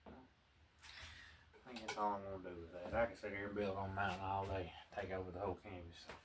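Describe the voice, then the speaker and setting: Low-voiced Southern painting instructor, a man. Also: little pitch movement. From American South. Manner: folksy, unhurried, murmuring asides to himself. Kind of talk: relaxed painting tutorial with casual chat. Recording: distant mic with clear background noise.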